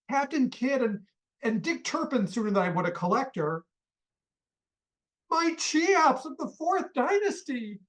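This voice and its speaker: A man acting an irascible middle-aged father and collector in a comic radio play. His delivery swings widely in pitch as he blusters and rants with indignation. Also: fairly bright tone, exaggerated voice, poor audio. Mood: outraged.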